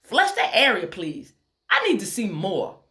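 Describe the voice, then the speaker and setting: A woman's voice: mid-range voice, huge pitch swings, unhurried, sharp tone, voice pushed up, full character voice. A woman voicing an exacting, proud female plastic surgeon with a Tennessee accent, in a commanding, emphatic, folksy way.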